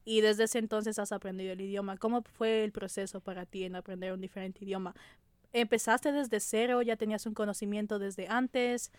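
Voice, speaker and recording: A woman's voice: mid-range voice, fairly even intonation, brisk, smooth, no room sound. A young woman with an Ecuadorian Spanish accent, hosting a podcast in an upbeat, bubbly way and guiding the conversation. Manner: upbeat, bubbly, steering the talk. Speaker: podcast host.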